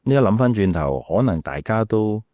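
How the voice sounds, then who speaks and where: Low-voiced middle-aged man, Malaysian Cantonese accent. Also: moderate pace, dry recording, clean studio sound. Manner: animated anecdotes, acting out quotes, repeating words. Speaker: radio DJ and podcast guest. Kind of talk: casual interview storytelling.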